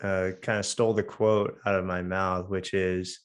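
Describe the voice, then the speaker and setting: A young man hosts a relaxed podcast conversation, his voice hoarse after losing it recently. He talks in a low register, pausing and restarting often with plenty of fillers as he works toward his questions. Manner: halting, many fillers and restarts. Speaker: podcast host. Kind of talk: casual conversational interview.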